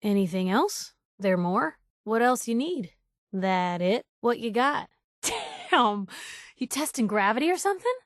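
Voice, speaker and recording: Game companion role: plucky young woman reporter in the wasteland. Higher-pitched, snappy, teasing wisecracker, recorded close and dry. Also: very animated, a little slow, dry recording. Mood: wry, cautioning. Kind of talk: video game companion voice acting.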